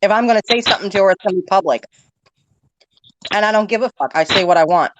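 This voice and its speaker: A woman's voice: mid-range voice, fast. Blunt woman guesting on a stream, muffled noisy audio. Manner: outspoken, blunt. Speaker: livestream guest. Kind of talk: casual livestream chat.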